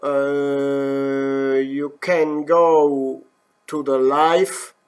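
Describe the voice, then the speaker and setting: A man from the German-speaking part of Switzerland talks in a Swiss German accent, slowly and haltingly, working in English as a non-native speaker. He pauses on fillers and corrects himself as he goes. He sounds middle-aged and hosts a live wood turning demo from his workshop. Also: a little high. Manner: hesitant, many fillers and self-corrections. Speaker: professional wood turner. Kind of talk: live-stream demo talk.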